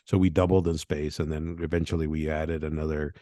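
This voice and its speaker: Low-voiced man, rambling, dry sound. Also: little pitch movement, brisk, warm tone, no room sound. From Venezuela. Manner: rambling, tag questions like "right?". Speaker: game designer guest. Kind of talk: casual podcast interview.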